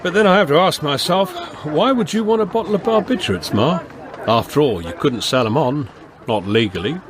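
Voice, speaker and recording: Male actor as avuncular older constable for radio drama, expressive intonation, plain-spoken, quietly authoritative. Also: on the high side, fairly quick, crowd noise, natural voice. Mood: stern. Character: avuncular, firm but kindly London beat policeman. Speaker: police constable and narrator. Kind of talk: naturalistic radio drama acting and storytelling.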